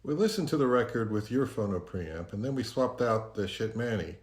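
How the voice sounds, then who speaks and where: A man's voice: on the low side, lively intonation, fairly quick. A man speaking as the host of an audio review, interviewing and narrating.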